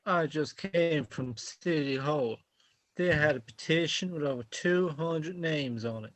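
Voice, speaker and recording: A man's voice: fairly high pitch, unhurried, a bit bright, no room sound. A man playing a matter-of-fact husband explaining bad news in an amateur radio play.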